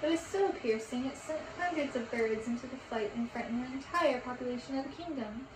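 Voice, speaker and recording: Woman storyteller, high voice, read-aloud narration, echoey room, faint noise. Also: a little fast. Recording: roomy, distant mic, faint noise.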